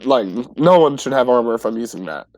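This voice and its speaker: A man's voice: medium pitch, lively intonation, brisk, a little mellow. A man playing a tabletop game, casually describing what his character does and chatting with the group.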